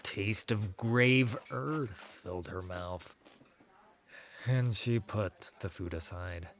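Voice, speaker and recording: A man reads a fantasy audiobook as its narrator. His voice is fairly low and his pace is slow, giving the scripted prose a measured, dramatic delivery. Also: a bit bright, slight background noise.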